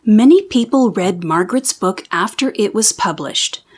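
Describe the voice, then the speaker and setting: A woman reading a children's book aloud as an expressive storyteller. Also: mid-range voice, moderate pace. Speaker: storybook reader. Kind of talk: children's read-aloud narration.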